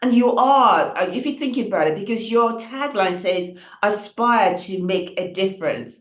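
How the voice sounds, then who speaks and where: A woman hosting an interview over a video call, rambling warmly through restarts and fillers. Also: mid-range voice, moderate pace. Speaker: interview host. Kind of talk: casual interview chat. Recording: dull, roomy sound.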